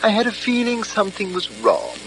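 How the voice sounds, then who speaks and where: Casting: self-regarding artist, radio mystery, high animated male voice, edgy and fussy. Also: a little fast, slight background noise, heavily acted, rough recording. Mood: uneasy, defensive. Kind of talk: old-fashioned British radio drama acting.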